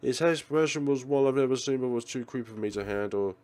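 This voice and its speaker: A man's voice: mid-range voice, fast talker, a little mellow, clear, resonant tone. A man reading a horror visual novel aloud as a let's play host, in dramatic narration.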